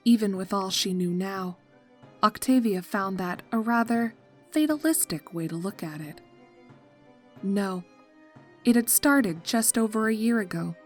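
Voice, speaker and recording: A woman narrating a scripted dark comedy story in a dry storytelling manner. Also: mid-range voice. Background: music.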